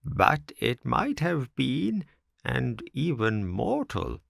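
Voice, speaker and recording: A man voicing an old man sitting by his hut, with a slow, drawn-out, creaky delivery. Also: medium pitch, big pitch swings, no echo, studio quality, pitched above the performer's own voice, full character voice. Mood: calm, slow.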